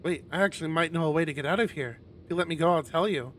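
A man's voice: a little high, racing, a bit bright, a little hiss or hum, natural voice. A man voicing a frightened, evasive young male otter, hesitant and anxious, in a visual novel. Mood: pleading.

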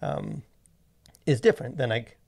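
Man, thirties, podcast co-host, casual discussion, halting and wordy, medium-pitched, creaky. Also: a little mellow. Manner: halting, wordy, thinking aloud with frequent rephrasing. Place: small indoor podcast studio. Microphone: close boom-arm studio microphone.

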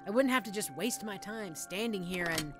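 Woman as an animated, flustered young witch. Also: medium pitch, moderate pace, loud music behind the voice, lightly acted. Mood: anxious, muttering. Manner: theatrical, rambling, expressive. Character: chatty, flustered young witch-in-training running a magic shop. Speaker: audio roleplay performer. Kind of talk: scripted audio roleplay, addressed to the listener.

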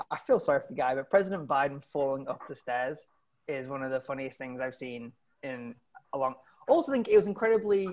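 A British man in his thirties doing a halting, self-deprecating stand-up comedy set over a video call from home, on earphone mic. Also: on the high side. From Britain. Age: 30s. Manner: halting, self-deprecating, often chuckling at his own lines. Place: indoor room at home. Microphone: wired earphones on a video call.

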